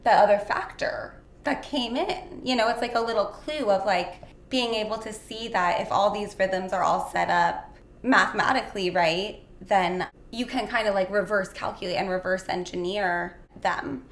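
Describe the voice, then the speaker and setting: Gravelly young woman, echoey room. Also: mid-range voice, normal speed. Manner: dreamy, frequent "like" fillers. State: slightly hoarse. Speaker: guest. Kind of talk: casual interview conversation. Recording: echoey room with faint noise.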